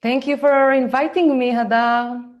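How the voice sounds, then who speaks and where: A woman in her forties from Israel with an Israeli accent, speaking slowly and carefully as she gives a live online talk to parents. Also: high-pitched, steady tone, muffled recording. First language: Hebrew. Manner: slow, deliberate, and careful for her listeners. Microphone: phone.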